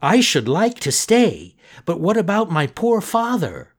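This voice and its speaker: Puppet boy, young and eager, high husky voice, swoops around a lot; man reading aloud. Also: huge pitch swings, measured pace, full character voice. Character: excitable wooden boy puppet, young, tearful.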